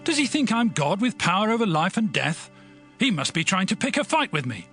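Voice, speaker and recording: A man's voice: high voice, very animated, fairly quick, faint music behind, heavily acted. A man playing a fearful, suspicious, outraged king of ancient Israel, crying out in alarm in a dramatized audio Bible. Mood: alarmed outrage. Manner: shouting in alarm.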